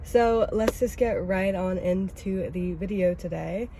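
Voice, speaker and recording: A young woman with a cold, sounding raspy and stuffy, chatting casually and rambling in a self-critical way as she vlogs from her car. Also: mid-range voice, a little slow, mellow, slight background noise. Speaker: vlogger host. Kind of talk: casual oversharing vlog talk. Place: inside a parked car.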